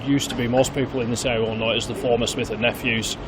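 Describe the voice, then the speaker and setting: A man's voice: medium pitch, little pitch movement, fast. Male manager interviewee, mid-range voice, informative, noticeable background noise. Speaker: general manager being interviewed.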